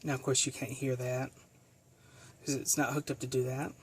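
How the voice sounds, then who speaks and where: A speaker giving a casual hands-on equipment demonstration in a halting, self-correcting way. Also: mid-range voice, level tone, slightly rough. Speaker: video presenter demonstrating equipment.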